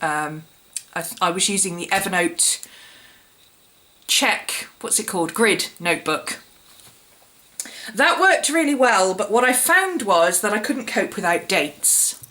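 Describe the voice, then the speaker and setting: Woman, husky, hoarse from a cold, bright, casual explainer. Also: medium pitch, expressive, a little slow. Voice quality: slightly croaky, husky. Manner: chatty, explaining step by step. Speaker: planner YouTuber. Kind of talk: casual show-and-tell vlog.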